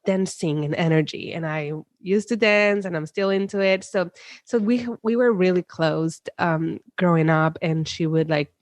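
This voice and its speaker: A woman's voice: fairly low pitch, moderate pace, no room sound. A woman from Puerto Rico with a Puerto Rican accent, a psychologist telling stories in a casual interview with many fillers and restarts. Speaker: psychologist guest.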